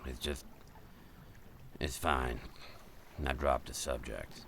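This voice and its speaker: A gruff, irritable old father who grumbles out short replies in a radio play. His voice is extremely deep and gravelly, with faint noise behind it. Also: fairly even intonation, takes it slowly, a bit bright, full character voice. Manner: curt and grumbling. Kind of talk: radio drama acting.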